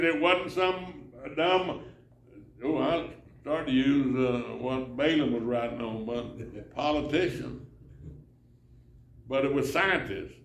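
A man's voice: on the high side, a bit bright. An older man preaching a sermon while seated in a church sanctuary, reading scripture and gesturing with his hands. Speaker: pastor. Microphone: far camera. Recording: roomy church echo, from far off.

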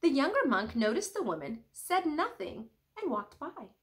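A young woman reading a children's storybook aloud in an expressive, teacherly way with careful pauses. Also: sits high. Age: young adult.

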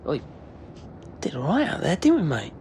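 A man's voice: a little high, huge pitch swings, moderate pace, a little mellow. A British man playing a cocky young footballer in a game cutscene, laddish and slangy. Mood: wry. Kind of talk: naturalistic game cutscene acting. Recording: noisy aircraft cabin ambience.

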